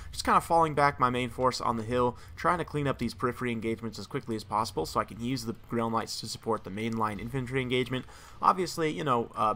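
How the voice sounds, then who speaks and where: A man with a slightly hoarse, strained voice casually commentating a strategy game battle, talking steadily with frequent "uh" and restarts. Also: medium pitch, sharp tone, a little hiss or hum. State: slightly hoarse, losing his voice.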